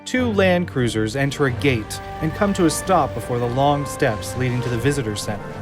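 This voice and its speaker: A man's voice: medium pitch, lively intonation, moderate pace. Male audio drama narrator over music. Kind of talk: scripted narration.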